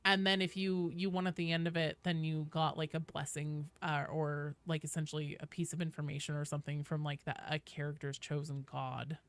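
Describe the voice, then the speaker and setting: Woman, livestream host, informal and chatty, slightly low pitch, crisp clean voice, viewer-directed explanations. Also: little pitch movement, a little fast, few pauses, dry recording. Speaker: video game streamer, host. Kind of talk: casual livestream chat with viewers.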